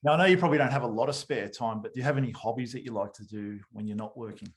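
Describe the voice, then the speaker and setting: A man's voice: medium pitch, racing. A man with an Australian accent hosting a podcast and asking questions in a casual interview style.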